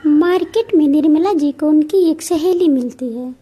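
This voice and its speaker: A woman's voice: high voice, moderate pace, dark tone, faint music behind, close to an everyday voice. A woman reading scripted narration for a cartoon story.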